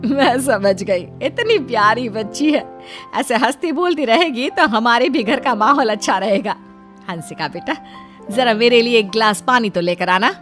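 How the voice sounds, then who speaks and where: Cartoon mother-in-law, played by a woman: gentle, motherly, easily taken aback, lively intonation, music underneath. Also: on the high side, moderate pace, natural voice. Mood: pleased. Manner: coaxing, motherly. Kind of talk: cartoon family drama acting.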